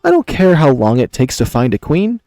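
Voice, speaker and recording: A man's voice: fairly high pitch, wildly swooping pitch, normal speed, dark tone, no echo, faint music behind. A man voicing a proud, playful young emperor in a visual novel, boastful and easily indignant. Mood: defiant. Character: proud young male emperor, cocky, playful, flustered when teased.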